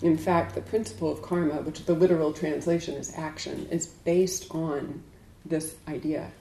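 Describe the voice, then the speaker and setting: A woman giving a dharma talk to a group as a Buddhist teacher, speaking in a measured, deliberate way with emphatic pauses. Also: low-pitched, steady tone, dark tone, a little hiss or hum. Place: indoors in a room. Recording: echoey room.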